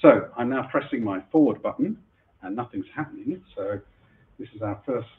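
Middle-aged male keynote speaker, husky from a cold, fillers and restarts; tinny, roomy lecture hall sound. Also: mid-range voice, animated, normal speed. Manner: chatty, self-deprecating, frequent fillers and restarts. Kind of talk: conference keynote talk. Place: lecture room before a live audience. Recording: echoey room over a phone-like line.

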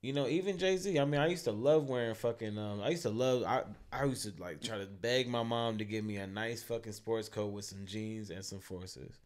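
A man's voice: fairly low pitch, moderate pace, hardly any pauses. Loose profane podcast co-host man. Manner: loose, profane, rambling with many fillers. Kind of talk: casual podcast banter.

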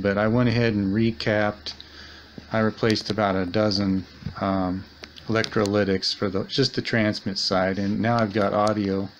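Male hobbyist repair host, unhurried and lowish, casual thinking-aloud delivery, faint background noise. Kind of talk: casual hands-on repair demonstration. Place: indoors at a workbench.

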